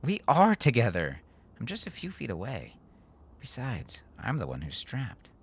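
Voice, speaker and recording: A man's voice: mid-range voice, wildly swooping pitch, a little slow, husky, pitched above the performer's own voice, exaggerated voice. A concerned woman companion as a man narrator plays her in a storybook drama. She is firm and insistent, with a teasing, reassuring way of talking. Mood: brisk, reassuring.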